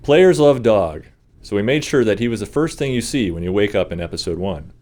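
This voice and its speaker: A man's voice: on the low side, expressive, fairly quick, a little mellow. A man reading scripted developer commentary on a video game.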